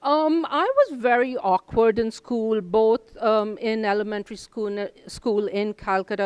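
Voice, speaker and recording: A woman's voice: mid-range voice, darkish tone, clean tone, dry recording. An older woman from India, a Hindi native speaker and professor, answering interview questions reflectively with many ums and uhs. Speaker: professor, guest.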